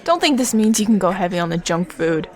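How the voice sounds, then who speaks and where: A woman's voice: mid-range voice, animated, fast, natural voice. A woman voicing a brainy, helpful young boy in an audio drama, explaining things analytically. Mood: instructive.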